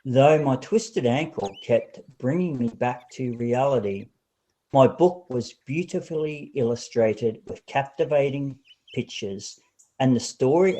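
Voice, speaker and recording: A man reading a story aloud as an audiobook narrator, in a deliberate, expressive storybook manner. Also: mid-range voice, low-quality recording.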